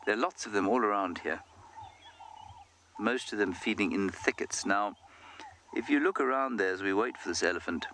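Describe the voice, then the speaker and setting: Deep-voiced male safari guide outdoors. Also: moderate pace, long pauses, a little hiss or hum. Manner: calm, explanatory, speaks directly to viewers. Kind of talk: live game drive commentary. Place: outdoors in the bush on a game drive.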